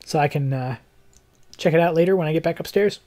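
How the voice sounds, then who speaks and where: A young man with a fairly high-pitched, mellow voice that sounds a little hoarse. He talks like a game streamer chatting to viewers, easygoing and rambling, with a technical bent. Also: fast talker. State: slightly hoarse. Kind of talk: casual livestream chat. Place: living room, seated in armchair indoors.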